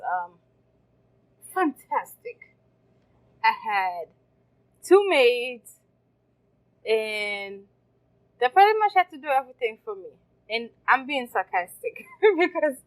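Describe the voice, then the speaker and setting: Lively higher-voiced woman storyteller. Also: measured pace, pauses often, poor audio. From Haiti. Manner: lively storyteller, laughs often. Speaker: guest, caterer and café owner. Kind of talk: casual interview storytelling. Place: farmers market.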